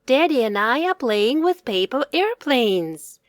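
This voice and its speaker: A woman voicing an affectionate, playful young boy in a children's storybook, speaking eagerly in simple, expressive phrases. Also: high voice, unhurried, no echo, studio quality, voice pushed up. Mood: eager, sweet. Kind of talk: children's storybook read-aloud.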